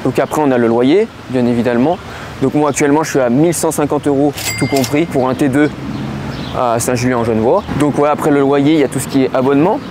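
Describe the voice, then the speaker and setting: A man in his twenties from the Lyon region, answering interview questions outdoors in a city, pausing often with fillers. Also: mid-range voice. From Lyon region, France. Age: 20s. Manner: hesitant, many fillers and restarts. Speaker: interview guest. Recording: clear street noise behind.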